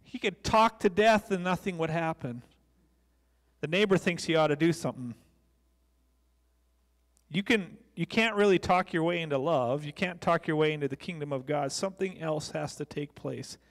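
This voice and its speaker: A man's voice: a little high, moderate pace. A middle-aged American man preaching a sermon as a pastor, speaking into a handheld microphone on a church stage. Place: church stage, large indoor room. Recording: long echoey hall reverb.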